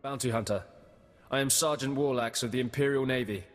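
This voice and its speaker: An actor playing a bullying, haughty military sergeant in a video game, barking with contempt. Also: male voice, medium pitch, fairly quick, in a large hall, soft background music, natural voice. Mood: contemptuous.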